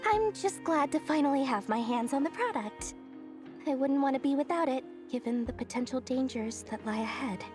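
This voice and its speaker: A small video game merchant girl, money-minded and full of herself. The voice is high and swoops around with sly, theatrical flair, over clear background music. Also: expressive, normal speed. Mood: earnest. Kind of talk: animated video game character acting.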